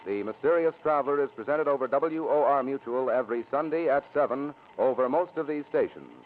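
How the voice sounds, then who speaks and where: Man, radio announcer, formal, polished, 1940s style, mid-pitched, thin band-limited old recording. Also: a little fast, phone-quality sound.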